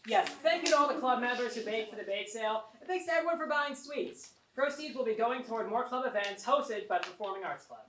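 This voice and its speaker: A woman's voice: fairly high pitch, animated, fast talker, hardly any pauses, fairly bright tone, slight background noise. A woman making scripted announcements as co-host of a club stage event. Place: college theatre, indoors. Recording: roomy theatre echo.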